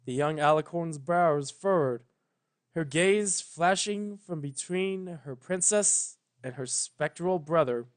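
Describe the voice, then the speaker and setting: A man's voice: fairly high pitch, slow. A man reading fan fiction as narrator, in a solemn, emotive, dramatic storybook style.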